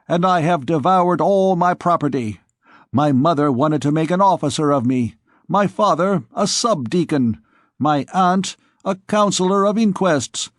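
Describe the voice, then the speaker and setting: A man voicing a boastful young male scamp who shouts to a crowd of thieves in an audiobook. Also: on the high side, no echo, clean studio sound, voice pushed up. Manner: shouting, swaggering. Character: brash young male scamp in armor, boastful rabble-rouser.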